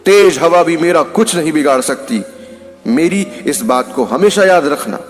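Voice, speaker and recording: A man's voice: a little high, animated, unhurried, big-room sound, soft background music, pitched above the performer's own voice. A man voicing a proud, boastful jamun tree in a storybook moral tale, with a mocking, haughty manner. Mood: angry.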